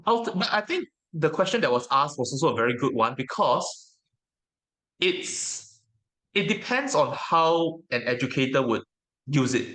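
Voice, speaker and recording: A man whose native language is Mandarin, giving casual interview answers as a guest in a reflective, hesitant way with frequent pauses. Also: on the high side, sing-song, fairly bright tone, poor audio. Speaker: interview guest, tabletop game educator.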